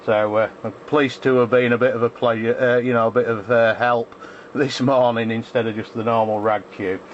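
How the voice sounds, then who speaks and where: An English man talks casually as a ham radio operator in a flat, mid-pitched voice, hesitating and repeating himself. The sound is dull, with a faint layer of noise. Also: moderate pace. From England.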